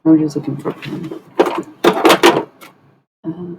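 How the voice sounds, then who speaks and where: A woman with a raspy voice hosting a live stream from home at night, chatting loosely to viewers and swearing freely. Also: sits low, little pitch movement, takes it slowly, heavy background noise. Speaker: livestream host. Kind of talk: casual live-stream chat. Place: at home at night, others asleep nearby.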